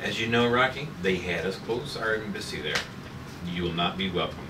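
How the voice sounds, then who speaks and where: A man reading a measured, matter-of-fact space agency chief at a script table read. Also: low voice, natural voice. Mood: grave. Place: room at a group table read. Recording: echoey noisy room, far from mic.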